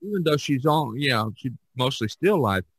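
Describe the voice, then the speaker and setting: A man hosting a casual podcast chat, speaking haltingly and often repeating words. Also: mid-range voice, lively intonation, slightly rough, no room sound. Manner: halting, with repeated words and frequent "you know". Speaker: podcast host. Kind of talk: casual discussion of art practice.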